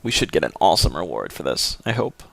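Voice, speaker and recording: A young man commenting on his game in a joking, offhand way, often getting excited. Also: a bass voice, quick pace, gravelly, faint music behind. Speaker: let's play host. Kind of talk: casual gameplay commentary.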